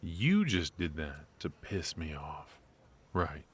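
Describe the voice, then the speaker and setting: Man acting a deep, slow, suave mafia boss. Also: sing-song, full character voice. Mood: sly, probing. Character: suave, teasing, ruthless male mafia boss, flirtatious. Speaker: voice actor in an audio roleplay. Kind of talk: ASMR roleplay acting, intimate one-sided conversation.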